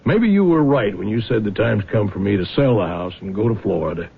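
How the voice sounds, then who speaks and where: An actor playing a weary, troubled older father in a radio drama, with a halting, folksy way of talking. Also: fairly low pitch, expressive, creaky, slightly rough, lo-fi sound, exaggerated voice. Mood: weary, subdued. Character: weary aging widowed father, troubled and honest. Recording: thin band-limited old radio recording.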